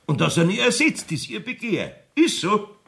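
A man's voice: high-pitched, very animated, normal speed, gravelly, bright, forceful tone, husky, full character voice. A man playing a wily rustic village judge in a dialect radio play, evasive and self-serving, with a Bavarian accent. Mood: insistent. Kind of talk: dialect radio drama acting.

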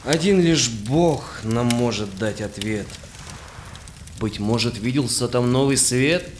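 An actor playing a wondering shipwrecked Cossack sailor, declaiming rhymed verse in an audio play. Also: male voice, mid-range voice, slow, slight background noise, lightly acted. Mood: awed wonder.

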